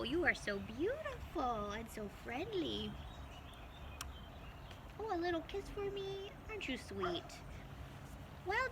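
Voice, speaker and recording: A high-pitched woman leads a children's story time. She speaks in an animated, sing-song manner, coaxing small listeners along gently. Clear noise sits behind her voice. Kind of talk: children's story time, talking and singing to young viewers.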